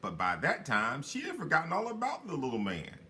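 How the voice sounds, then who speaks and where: Expressive man reading a fairy tale aloud. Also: a little high, brisk, a little hiss or hum. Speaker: storyteller reading a children's book.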